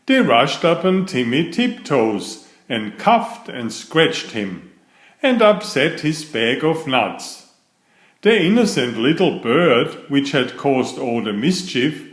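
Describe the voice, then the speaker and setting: A man reading a children's story aloud in a measured, expressive storytelling manner. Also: medium pitch, reverberant.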